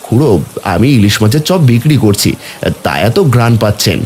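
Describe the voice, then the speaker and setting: Man acting a lively humble village fisherman father. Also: mid-range voice, brisk, natural voice. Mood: polite. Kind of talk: Bengali cartoon story voice acting.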